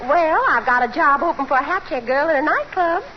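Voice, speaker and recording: An actress playing a brisk, chatty employment agency clerk, in 1940s radio comedy. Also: female voice, high voice, slight background noise, natural voice. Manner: brisk, breathless sales talk. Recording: dull old radio broadcast.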